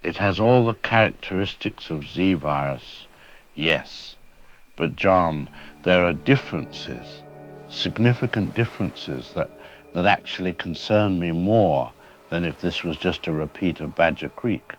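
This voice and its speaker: A very deep-voiced man as a haunted scientist calling in to a radio show. He sounds grave and alarmed, halting and then emphatic as he explains. Music runs under his voice. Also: lively intonation, muffled recording. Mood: intense, analytical. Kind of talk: audio drama interview acting.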